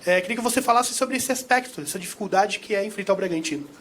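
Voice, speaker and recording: A male reporter puts a long, wandering question to a coach at a press conference. He talks quickly in a high voice. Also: fairly bright tone, slight background noise. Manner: long-winded question with fillers. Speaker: sports reporter.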